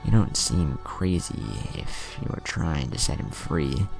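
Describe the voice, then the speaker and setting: Older roguish ninja master played by a man, rock-bottom pitch, fatherly and teasing, anime fan fiction. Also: very animated, slow, vocal fry, music in the background, heavily acted. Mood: thoughtful. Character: older male ninja mentor, roguish, proud, jovial godfather.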